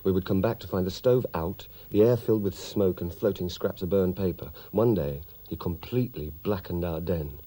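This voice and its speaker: Low husky british ww2 airman, dry n droll, very dark mellow voice, radio play. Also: moderate pace, hardly any pauses. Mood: wry narration. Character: wry, weary British wartime airman, narrating his memoir. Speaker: narrator and character.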